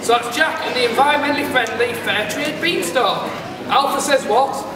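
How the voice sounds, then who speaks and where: A man's voice: very high-pitched, moderate pace, a crowd in the background. A man presenting a comic scripted stage introduction with mock-grand announcing. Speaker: pantomime presenter. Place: large hall, live stage performance, recorded from afar. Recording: distant, echoey hall, loud background noise.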